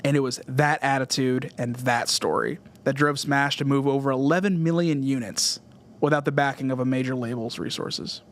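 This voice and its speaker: A man's voice: medium pitch, steady tone, moderate pace. A man from Southern California, hosting a solo music podcast in an earnest, scripted essay style. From Southern California, USA. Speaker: podcast host.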